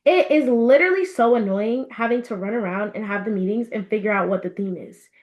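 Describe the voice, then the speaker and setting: A woman's voice: medium pitch, fairly quick, close to an everyday voice. A woman voicing a bookish young male castle historian in a storybook, chatty and emphatic. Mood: scoffing.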